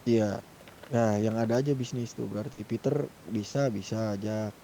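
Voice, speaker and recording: Young Indonesian man, Jakarta accent, very dull dark timbre, casual gamer chat, faint keyboard noise. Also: lowish voice, fairly even intonation, normal speed. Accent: Indonesian, Jakarta colloquial. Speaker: GTA roleplay gamer in a group meeting. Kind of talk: casual group chat in an online game. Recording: muddy gaming mic with keyboard noise.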